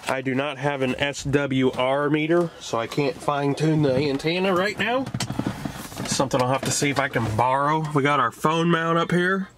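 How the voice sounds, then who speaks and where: Man talking casually over clear background noise. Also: a little high, normal speed. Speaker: DIY car vlogger. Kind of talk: casual hands-on install tutorial. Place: inside a parked car outdoors, door open. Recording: noisy on-camera car recording with clicks.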